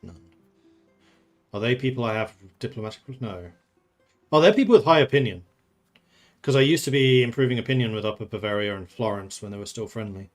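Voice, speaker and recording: A man's voice: mid-range voice, lively intonation, fairly quick, long pauses, faint music behind. A man from the Netherlands who grew up in England, streaming a strategy game and thinking aloud in casual commentary, chatting with viewers and laughing often.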